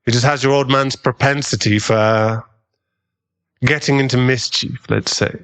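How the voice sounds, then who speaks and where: A man playing an earnest, kindly government agent in an audio drama, with a measured, avuncular way of talking. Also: medium pitch, steady tone, a bit bright, smooth, close to an everyday voice. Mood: gently teasing.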